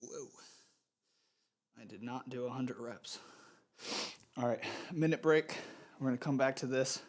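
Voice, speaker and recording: A man's voice: mid-range voice, unhurried. A man with a stuffy, congested voice coaching a live at-home workout in his home gym and cueing sets and reps while he trains. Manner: cues sets and reps while exercising himself. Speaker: fitness instructor. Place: home gym in a converted bedroom.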